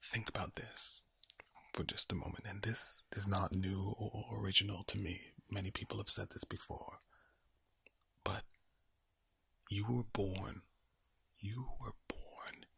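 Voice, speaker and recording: A man speaking in a low, breathy, villainous-sounding whisper, slowly and repetitively reassuring the listener in ASMR style. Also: fairly even intonation, pushed and bright, no echo. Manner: slow, repetitive, soothing address to the listener.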